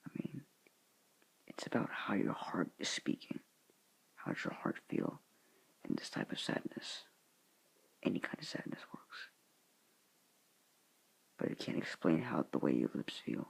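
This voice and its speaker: Whispering teenage boy, husky and creaky. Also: very deep voice, wildly swooping pitch, low-quality recording. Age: teens. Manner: soft hushed whisper, halting and earnest. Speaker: student vlogger. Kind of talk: whispered somber address to viewers.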